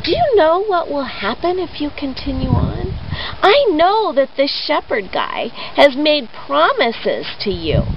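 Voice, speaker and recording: A woman's voice: high voice, wildly swooping pitch, a little slow, pitched above the performer's own voice, exaggerated voice. A woman storyteller voicing a proud, handsome young man who coaxes with feigned concern. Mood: coaxing, falsely concerned. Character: proud, handsome young man, falsely sweet persuasive cousin. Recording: dull lo-fi audio with clear background noise.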